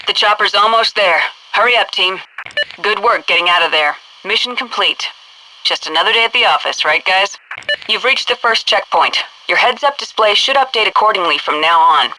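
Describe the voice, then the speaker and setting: An American woman playing a brisk military headquarters commander in a video game, giving crisp, authoritative mission instructions. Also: mid-range voice, lively intonation, noisy background, close to an everyday voice. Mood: approving, businesslike. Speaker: mission control radio dispatcher and tutorial guide.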